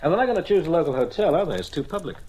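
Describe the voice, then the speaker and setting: Radio detective: quick pace, husky voice, assured, slangy, teasing, breezy amateur sleuth. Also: male voice, on the high side, very animated, a little mellow. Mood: confident, teasing. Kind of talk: old radio mystery drama acting.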